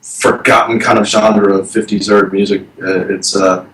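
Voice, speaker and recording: A man in his thirties speaks as a band guest, slow and hesitant, full of fillers. His voice is low-pitched and sounds far from the mic in an echoey room, with faint noise around it. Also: level tone, a bit bright. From Texas. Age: 30s. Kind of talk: casual interview chat.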